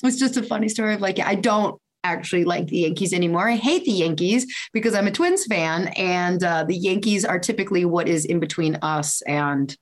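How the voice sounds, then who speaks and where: A woman's voice: on the low side, expressive, fairly quick, few pauses. A woman hosting a podcast in a casual chat, rambling and interrupting herself with laughter. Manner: rambling, self-interrupting, giggly. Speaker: podcast host.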